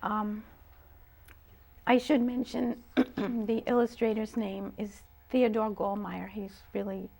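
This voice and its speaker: A woman talking casually as an interview guest, often pausing mid-phrase. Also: medium pitch, level tone, gravelly, a little mellow. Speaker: guest, writer and composer.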